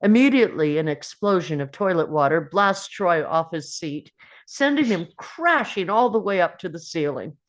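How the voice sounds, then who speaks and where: Woman narrator, slightly low pitch, very animated intonation, scripted screenplay reading. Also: normal speed. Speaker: narrator at a script table read.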